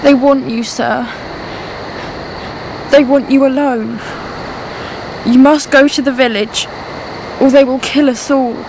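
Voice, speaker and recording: A frightened villager, high in pitch and slow to get the words out. The game cutscene performance is urgent and pleading, with clear ambient noise around it. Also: female voice, fairly even intonation. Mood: pleading, afraid. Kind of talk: dramatic video game cutscene acting.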